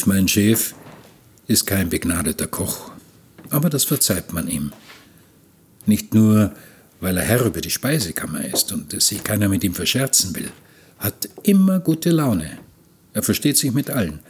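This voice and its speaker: An actor playing an observant novice galley hand, narrating his voyage diary in a measured, reflective way for a radio play. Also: on the low side, big pitch swings, a little mellow, a little hiss or hum. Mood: wry.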